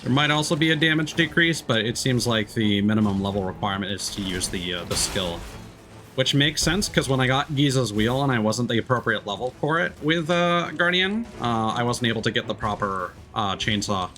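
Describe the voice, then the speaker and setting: Man, mid-pitched voice, casual game streamer, self-directed muttered asides, clear music behind. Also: expressive, fairly quick. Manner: muttering asides to himself while playing. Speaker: livestreamer playing a video game. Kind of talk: casual gameplay commentary. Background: loud video game music.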